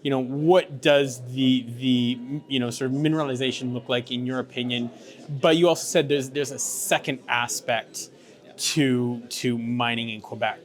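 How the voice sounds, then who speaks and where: A man's voice: medium pitch, fairly even intonation, moderate pace. A male news interviewer asking long, rambling questions full of fillers and restarts. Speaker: interviewer for a mining news channel.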